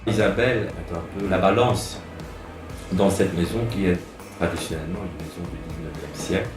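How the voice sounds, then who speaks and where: A man, a restaurant owner, speaking slowly and with hesitations about his house and his chef. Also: deep voice. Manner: slow, measured, hesitant. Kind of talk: interview comment. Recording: roomy sound with music.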